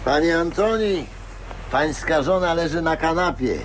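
An actor playing a vengeful father in a radio drama, taunting another man with slow, savouring menace. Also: on the high side, a little hiss or hum, natural voice. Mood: menacing, taunting.

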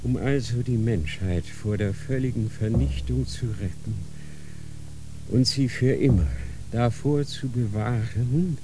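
A man acting a dying old Grand Inquisitor in a radio drama, dictating slowly and solemnly in a feverish state. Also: mid-range voice, over music, close to an everyday voice. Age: older. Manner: slow, solemn dictating with sudden feverish outbursts.

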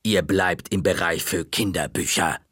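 A man's voice: low voice, fairly bright tone, husky, dry recording, clean studio sound, pitched below the performer's own voice, heavily acted. A man voicing a grating, grumpy old librarian woman. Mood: gruff. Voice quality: grating, rusty voice. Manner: slow, grumbling, curt.

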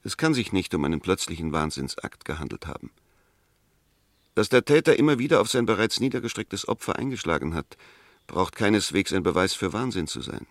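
An actor playing a methodical, skeptical defense lawyer in a 1960s German radio play, speaking in measured, precise arguments. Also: male voice, fairly low pitch, fairly bright tone, dry recording, clean studio sound.

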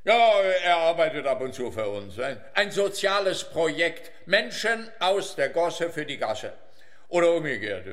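An actor playing a smug, bumbling police officer in a comic radio play, speaking in a theatrical, officious way. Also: male voice, a little high, big pitch swings, unhurried, a bit bright. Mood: smug, playful. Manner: theatrical, officious, muddles his sayings.

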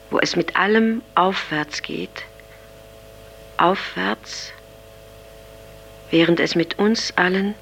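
A performer playing a gentle, solemn voice from the past, reciting verse deliberately with long pauses. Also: medium pitch, gravelly, a little hiss or hum, exaggerated voice, poor audio. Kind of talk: slow poetry recitation in an audio drama.

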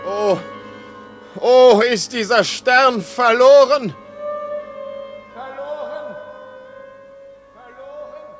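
Tormented despairing man in a radio play, very slow, faint music behind. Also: very high voice, steady tone, heavily acted. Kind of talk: poetic radio drama.

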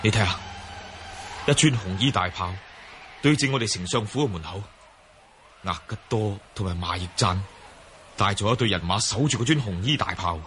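男演員演穩重有禮嘅年輕公子，背景嘈雜. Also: male voice, on the low side, fairly bright tone, slight background noise, close to an everyday voice. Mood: alarmed. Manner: formal, measured, earnest. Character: courteous, steady heroic young nobleman. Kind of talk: Cantonese radio drama acting.

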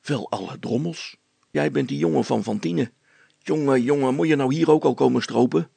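Man acting a blunt, creaky-voiced grumbling fellow. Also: mid-range voice, moderate pace, warm tone, dry recording, pitched above the performer's own voice. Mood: indignant. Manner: blunt, dismissive, colloquial. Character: gruff, bold man scolding a boy.

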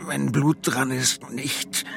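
Cornered guy, gravelly, dry and defiant but polite, radio drama with music behind. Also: medium pitch, steady tone, slow. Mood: controlled defiance.

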